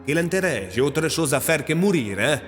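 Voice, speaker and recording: A man's voice: fairly high pitch, lively intonation, normal speed, voice pushed up, close to an everyday voice. A man voicing a wily, nonchalant captive in an audio drama. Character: wily, nonchalant male captive bluffing to survive. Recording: reverberant cave effect, music behind.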